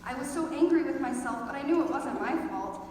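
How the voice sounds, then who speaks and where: A woman's voice: high voice, expressive, brisk, darkish tone. A teenage girl telling a personal story as a scripted stage monologue, with dramatic comic overstatement. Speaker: high school theater student performer. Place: large echoey indoor performance space. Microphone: distant camera microphone. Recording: very echoey hall, distant, faint noise.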